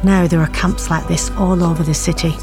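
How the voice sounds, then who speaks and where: A woman's voice: lowish voice, fairly even intonation, a little fast, music in the background, lightly acted. An actress playing a bereaved middle-aged mother in an audio drama, confiding her story in a plain-spoken, wry and bitter way. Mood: matter-of-fact, sombre. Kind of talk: audio drama narration, intimate monologue.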